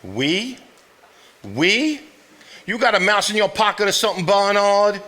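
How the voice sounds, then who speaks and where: A man's voice: high-pitched, lively intonation, unhurried, pushed and bright, exaggerated voice. A man playing a hot-headed, folksy, blustering heir in a live stage drama. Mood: irritated, blustering. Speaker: stage actor.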